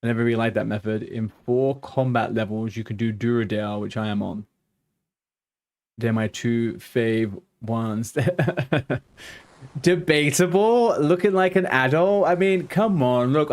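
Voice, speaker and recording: A man in his thirties with a softened London accent, chatting casually with viewers while streaming a game. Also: medium pitch. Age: 30s. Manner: chatty and rambling, answers viewers' chat aloud. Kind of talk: casual live-stream chat.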